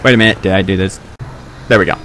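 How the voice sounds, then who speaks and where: A man's voice: sits low, steady tone, very fast, background noise. A man giving casual, improvised gameplay commentary, rambling in a self-deprecating way and often repeating himself. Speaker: gaming video creator. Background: video game sounds.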